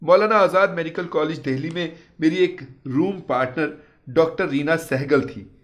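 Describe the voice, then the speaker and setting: A man's voice: fairly high pitch, moderate pace. Male storyteller narrating a moral tale. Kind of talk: scripted narration of a first-person moral story.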